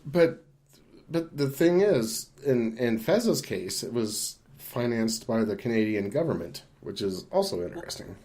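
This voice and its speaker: A man's voice: mid-range voice, animated, a little slow, dark tone. A man co-hosting a podcast, discussing film casually in a hesitant, rambling, conversational way. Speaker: podcast co-host.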